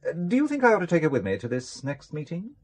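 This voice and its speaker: A man's voice: on the high side, huge pitch swings, fast. A wry, guarded businessman. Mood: dry, probing. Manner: dry, sardonic. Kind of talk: British radio drama acting.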